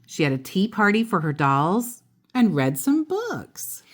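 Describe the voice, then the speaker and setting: A woman storyteller reads a children's picture book aloud at a slow, unhurried pace. Her pitch moves up and down in a very animated way, and she adds simple asides for a young listener.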